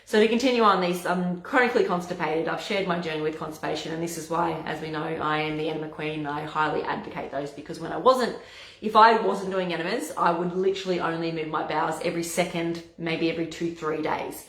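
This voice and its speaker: A woman naturopath with a hoarse, husky voice, chatting casually to camera and often stumbling over and restarting her words. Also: low voice, fairly even intonation, quick pace, a bit bright. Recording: echoey room.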